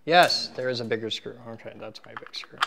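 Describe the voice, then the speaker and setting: A man's voice: medium pitch, a little fast. A man hosting an equipment teardown, talking informally and casually to viewers and to himself as he works with his hands. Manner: informal, muttering to himself while working, animated when addressing viewers. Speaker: host of an equipment teardown video series. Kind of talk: casual hands-on demonstration, talking while working. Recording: clear background noise, handling clicks.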